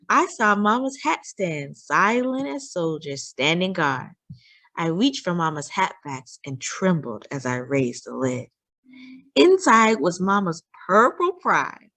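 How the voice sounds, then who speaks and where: Slow, highly animated woman storyteller. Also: dry recording. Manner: deliberate and dramatic, acting out dialogue. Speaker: storyteller reading a children's picture book aloud. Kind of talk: expressive scripted read-aloud narration.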